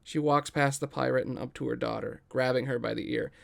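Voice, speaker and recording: A man's voice: mid-range voice, steady tone, fairly quick, creaky. A man reading scripted stage directions as the narrator of a table read.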